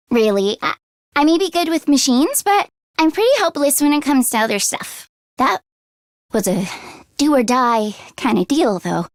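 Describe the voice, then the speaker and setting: A woman voicing an earnest, anxious teenage girl mechanic in a video game, talking in a casual, expressive, youthful way. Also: sits high, dry recording, clean studio sound. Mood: modest, uneasy. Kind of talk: English video game voice acting.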